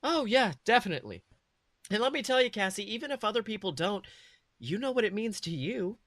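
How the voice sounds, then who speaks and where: Man reading as kindly, bright-voiced woman. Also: sits high, big pitch swings, brisk, dry recording, pitched above the performer's own voice. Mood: encouraging. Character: poised, kindly, reassuring woman, superhero's fiancée.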